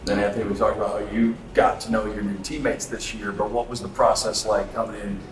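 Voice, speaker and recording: A man's voice: fairly low pitch, flat delivery, brisk. A man working as a sports interviewer, asking questions in a conversational sit-down interview. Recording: echoey room with faint ambient noise.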